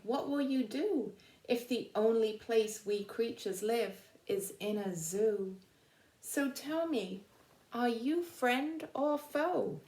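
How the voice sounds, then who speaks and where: A woman's voice: on the high side, a little mellow. A woman voicing a sad, endangered storybook animal that makes an earnest appeal in rhyme. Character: sad, pleading chorus-like endangered wild creature. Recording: roomy, echoing indoor sound.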